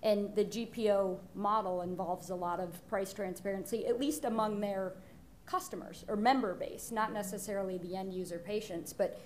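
Lively woman explaining market trends. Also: mid-range voice, moderate pace, slight background noise. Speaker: panelist. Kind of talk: panel discussion. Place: conference stage. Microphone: table microphone.

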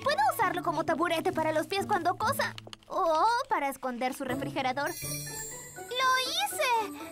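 A woman's voice: very high-pitched, big pitch swings, a little slow, heavily acted. A woman voicing a sweet, earnest little girl in a dubbed children's cartoon. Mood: eager. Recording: loud children's music behind the voice.

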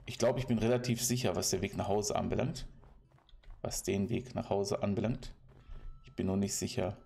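A man's voice: lowish voice, steady tone, normal speed, gravelly, dark tone. A German man giving casual, playful commentary while playing a game, chatting to the animals and villagers he meets. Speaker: let's play commentator.

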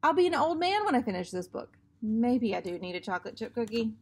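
A woman's voice: on the high side, big pitch swings, a little fast, darkish tone, husky. A woman in her thirties reading a children's book aloud as a teacher, acting out the dialogue expressively.

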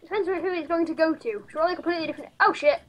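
A woman chatting fast and bubbly while playing a video game with friends. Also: extremely high pitch. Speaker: game player. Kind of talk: casual gaming chat.

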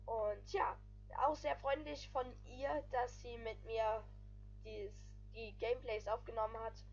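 A woman's voice: a little high, steady tone, unhurried. A woman giving casual, rambling voice-over commentary on her gaming video, stringing thoughts together with frequent filler phrases.

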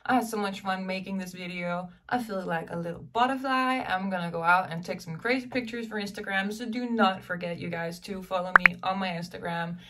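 A woman's voice: medium pitch, a little fast, few pauses, fairly bright tone, recorded from a distance, slight background noise. A young woman who is losing her voice to a cold, chatting casually to camera about makeup, saying "you guys" often. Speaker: beauty vlogger. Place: bedroom with clothes rack behind.

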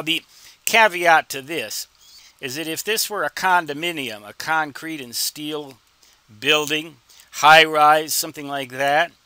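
A man explaining things as an instructor in an informal tutorial, with deliberate phrasing and wry, folksy humor. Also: a little high, bright, forceful tone, dry recording. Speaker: instructor answering reader questions.

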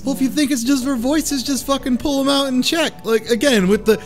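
A man's voice: very high voice, brisk. A man co-hosting a casual chat, slangy and joking, with easy swearing. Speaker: podcast co-host. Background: faint music.

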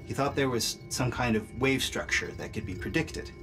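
An actor playing an earnest, excitable scientist in a video game, explaining things with urgency. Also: male voice, mid-range voice, normal speed, lightly acted. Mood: intent. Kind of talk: video game cutscene acting. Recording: music behind the voice. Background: music.

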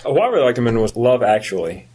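A man's voice: medium pitch, sing-song, rapid-fire, a little mellow, breathy edge. A man talking casually about films as a co-host on a podcast. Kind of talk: casual film discussion.

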